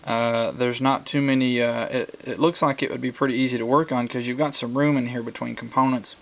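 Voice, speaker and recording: A man talking casually through a hands-on tutorial, explaining things in a halting way as he thinks aloud. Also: mid-range voice, fairly even intonation, creaky. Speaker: hobbyist video presenter.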